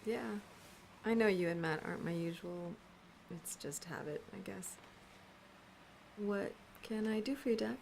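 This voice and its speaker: An actress playing a gentle, probing children's doctor in an audio drama, soft-spoken, hesitant and full of questions. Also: female voice, medium pitch, unhurried, vocal fry, long pauses, slight background noise. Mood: sleepy, tentative. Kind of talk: naturalistic audio drama acting.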